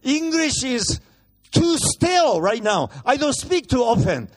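A man whose voice sits unusually high for a man. He preaches as a guest in a church, blunt and brash, slipping in jokes as he switches rapidly between languages. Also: very animated. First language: Japanese. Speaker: guest pastor. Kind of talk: bilingual sermon.

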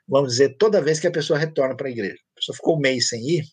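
A man's voice: mid-range voice, very fast, breathy edge, no echo. A man with a Brazilian Portuguese accent, a pastor answering questions in a church teaching session, explaining conversationally with anecdotes.

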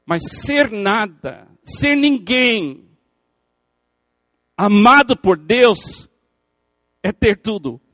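A man's voice: high-pitched, lively intonation, extremely slow, pauses often, a bit bright, no room sound. An older male pastor, a native English speaker, preaching a sermon in earnest with emphatic repetition and playful asides to the congregation.

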